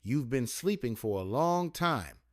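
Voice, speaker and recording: A soothing, loving mother, middle-aged and gently wise, with folksy turns of phrase, read by a man. Her words come tender and unhurried. The sound is dry and close, like a home audiobook recording. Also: mid-range voice, big pitch swings, dry recording.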